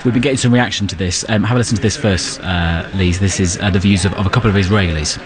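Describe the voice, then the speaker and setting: A man presenting the news, brisk and conversational. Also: low-pitched, crowd noise.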